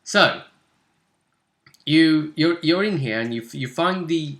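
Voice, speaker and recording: A man's voice: a little high, animated, takes it slowly, a bit bright. A man chatting casually as a tabletop game master, blunt and given to swearing. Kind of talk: casual chat recapping a tabletop role-playing session. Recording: roomy, echoey indoor recording.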